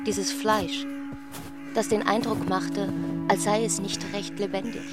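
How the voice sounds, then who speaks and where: Casting: female literary narrator, very slow and deliberate, medium pitch, prominent music underneath. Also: flat delivery.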